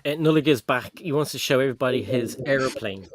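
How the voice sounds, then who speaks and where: A man's voice: medium pitch, brisk, dry recording. Middle-aged man hosting a Lego stream. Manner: relaxed, joking, talks to his son on camera. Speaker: host of a Lego livestream. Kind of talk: casual livestream chat, reading viewer comments. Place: home room full of Lego shelves.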